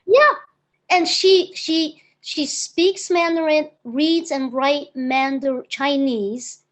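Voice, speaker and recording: Interview guest: enthusiastic woman in her fifties, raised in the US, with a slightly high voice. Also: fairly even intonation, slow pace. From born in Hong Kong, raised in the United States. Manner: eager, enthusiastic storyteller. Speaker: guest, real estate broker. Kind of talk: conversational interview.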